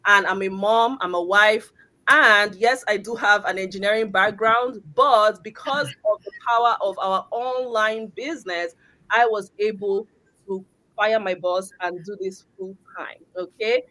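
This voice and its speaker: A woman's voice: mid-range voice, unhurried, sharp tone, low-quality recording. A woman hosting a live video call, talking in an upbeat, motivational way. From Canada. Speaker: host. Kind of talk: live stream group chat.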